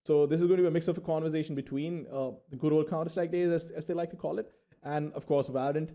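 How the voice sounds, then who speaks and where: Fast-talking, mellow-voiced male host. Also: on the high side, steady tone. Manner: quick, chatty, switches between Hindi and English. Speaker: interview host. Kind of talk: casual interview hosting.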